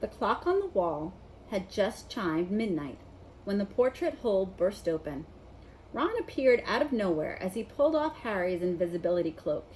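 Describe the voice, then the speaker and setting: A woman narrating a children's novel in an expressive read-aloud style. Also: mid-range voice, moderate pace, slight background noise, poor audio. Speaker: storybook reader.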